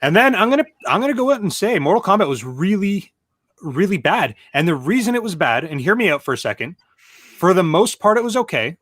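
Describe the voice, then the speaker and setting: Kinda high voiced guy on a panel, super animated, griping and stumbling over his opinions. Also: no room sound, studio quality. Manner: emphatic, griping, halting restarts. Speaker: panelist on a video game movie show. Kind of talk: casual opinionated chat.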